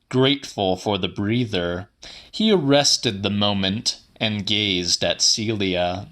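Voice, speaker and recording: A man reading a literary audiobook in a theatrical, deliberate way, with some drama in the narration. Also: lowish voice, a bit bright. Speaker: audiobook reader.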